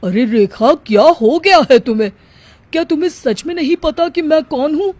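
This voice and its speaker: A woman's voice: high voice, moderate pace, a little mellow, dry recording, lightly acted. Woman acting a brusque, fretful middle-aged husband. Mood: worried. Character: busy, irritable then worried middle-aged husband.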